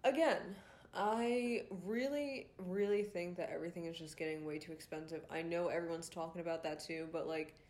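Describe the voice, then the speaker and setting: A young woman with a congested, nasal voice hosts her own podcast, venting in a loose, frustrated monologue packed with fillers and swearing. She comes from Rhode Island, and her voice sits a bit low for a woman. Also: normal speed, a little mellow. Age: young adult. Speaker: podcast host. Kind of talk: casual ranting monologue.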